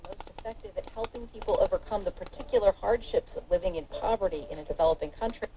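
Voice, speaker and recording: A woman's voice: mid-range voice, fast, dark tone, poor audio. A woman speaking on a radio broadcast, heard through a small radio speaker. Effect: played through a small radio speaker. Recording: faint radio playback with background noise.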